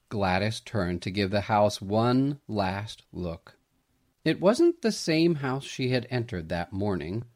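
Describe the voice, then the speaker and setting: A man narrating a scripted family story in a gentle, dramatic, sentimental manner. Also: lowish voice.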